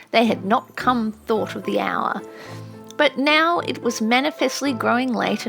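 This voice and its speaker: A woman's voice: medium pitch, creaky. A woman narrating an audiobook in a scripted, literary storytelling style.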